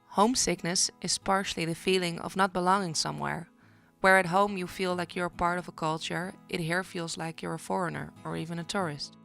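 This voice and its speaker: A young woman speaks introspectively about being far from home. Her voice is lowish with a gravelly fry. Clear music plays under her words. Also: steady tone, fairly quick, fairly bright tone. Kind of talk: documentary interview.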